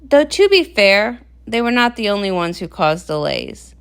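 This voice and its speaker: A woman narrating a comic read-aloud in an expressive storytelling style. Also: medium pitch, moderate pace, creaky, clear, resonant tone.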